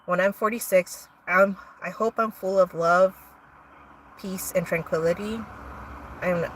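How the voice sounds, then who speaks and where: A woman's voice: on the low side, fairly even intonation, measured pace, far from the mic, a little hiss or hum, rough recording. A young woman hosting a livestream, reading her own poems aloud with casual asides between them.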